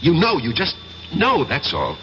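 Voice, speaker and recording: An actor playing a haunted professor who confesses a past life as a soldier, in an intense, theatrical 1970s radio drama style. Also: male voice, on the high side, wildly swooping pitch, measured pace, bright, forceful tone, exaggerated voice. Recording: muffled, noisy old radio broadcast with hum.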